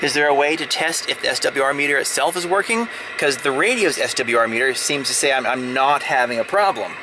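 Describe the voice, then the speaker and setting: Halting man over clear background noise. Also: mid-range voice, expressive. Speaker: hobbyist showing a radio install. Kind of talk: casual step-by-step demonstration. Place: in or at a vehicle.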